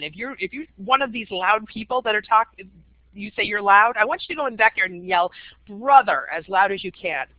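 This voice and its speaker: A woman's voice: medium pitch, no room sound. Woman presenter with many fillers, dry dull audio. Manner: conversational, frequent "um" fillers. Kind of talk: webinar presentation.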